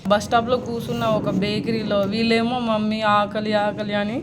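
A woman's voice: medium pitch, flat delivery, brisk. A young woman with a Telangana Telugu accent talks casually on a phone call in a plaintive, troubled manner. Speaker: vlogger making a prank phone call. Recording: clearly noisy background ambience.

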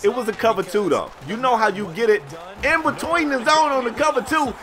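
An American man giving casual, slangy play-by-play commentary on a sports video game in an animated storytelling manner. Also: extremely high pitch, fairly quick, faint music behind.

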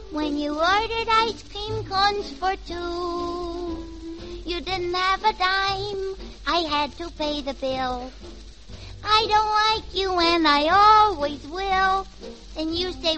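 A woman playing a scornful, very young girl in a 1940s radio comedy song. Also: extremely high pitch. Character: very young little girl, scornful of her boyfriend. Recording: old 1940s radio broadcast, music behind.

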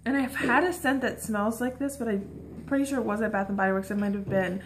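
A woman's voice: on the high side, brisk, a little hiss or hum. A young adult woman chatting casually and informally to the camera as a shopping vlogger. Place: small room at home, indoors.

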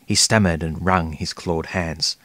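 Casting: man as narrator-companion, very low voice, earnest storytelling for fantasy audio drama. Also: steady tone, unhurried, a bit bright. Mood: suspenseful narration. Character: male companion and storyteller, earnest, easily frightened.

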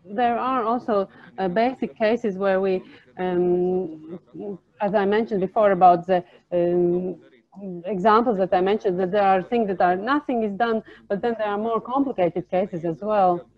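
A woman working as a simultaneous interpreter at a panel discussion, speaking in halting, separate phrases. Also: medium pitch. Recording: narrow, tinny phone-like line.

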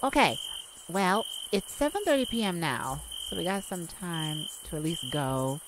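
A woman playing an outgoing, playful, sassy young best friend in an audio roleplay, theatrical and teasing, with drawn-out words. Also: on the low side, expressive, background noise. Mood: excited. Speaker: ASMR roleplay voice actor. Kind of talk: ASMR audio roleplay, addressed to a listener.

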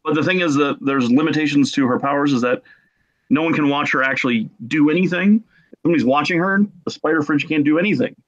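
A man with a slightly high voice chats casually as a guest. He tells stories in a jokey, animated way, slipping into mimicked quotes, recorded at home over a video call. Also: fast, highs cut off. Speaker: podcast guest. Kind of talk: casual group chat.

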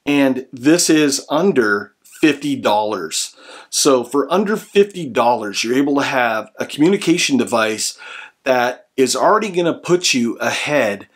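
A man's voice: mid-range voice, lively intonation, measured pace, slightly rough. A man in his forties with an American accent, explaining a product to camera in an earnest, instructional way. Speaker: product reviewer and presenter. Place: small room indoors at home.

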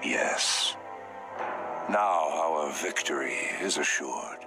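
A man's voice: a bass voice, very slow, heavily acted. A man playing a grave, wise, ancient elf druid in a fantasy video game. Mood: grave, assured. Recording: loud music behind the voice.

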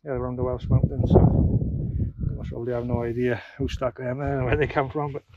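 A man's voice: mid-range voice, level tone, fast, phone-quality sound. A middle-aged man on a hike giving informal, slightly hesitant answers to a camera outdoors. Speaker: hiking companion. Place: open mountain summit, outdoors. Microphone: handheld action camera, short distance away. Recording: noisy outdoor action-camera audio. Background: loud outdoor noise.

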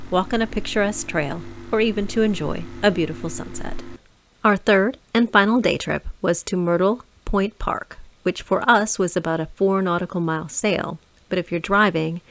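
A woman reading scripted voice-over narration for a travel vlog, calm and informative. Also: lowish voice, a little hiss or hum.

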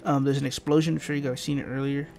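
A man's voice: mid-range voice, level tone, fast, creaky, darkish tone, faint music behind. Congested nasal young man narrating gameplay. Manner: rambling, filler-heavy explaining. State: congested from allergies. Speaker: gaming channel host. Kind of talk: casual gameplay commentary. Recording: noisy home recording.

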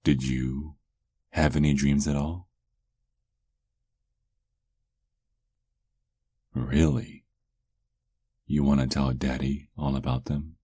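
A man's voice: rumbling low, dry recording, exaggerated voice. A man playing a doting, teasing vampire lover in an intimate, murmured ASMR roleplay, close to the microphone. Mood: tender, teasing. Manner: slow, intimate murmuring with soft chuckles. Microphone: close microphone, dry.

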